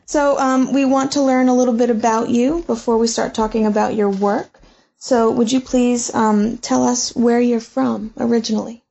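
A woman's voice: on the high side, level tone, moderate pace, gravelly, mellow. A woman hosting a podcast interview, reading a formal introduction and then asking short prompts. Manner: reads introduction, then brief encouraging prompts. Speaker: podcast host. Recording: muffled lo-fi audio.

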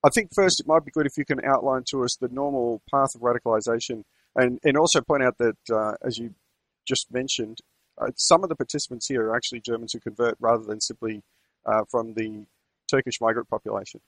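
Measured male radio interviewer, dry studio sound. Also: mid-range voice, steady tone, fairly bright tone, no room sound, low-quality recording.

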